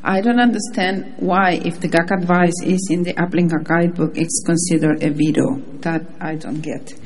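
A woman's voice: fairly low pitch, fairly even intonation, moderate pace, a little hiss or hum. A native Spanish-speaking woman with a Spanish accent, giving informal remarks as a panelist. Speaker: panelist, government delegate.

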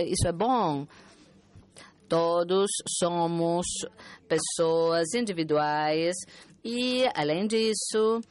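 Lowish-voiced woman doing live conference interpreting, halting, speeds up then drags. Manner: uneven pace, alternately rushing and slowing. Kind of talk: simultaneous interpretation of a conference talk.

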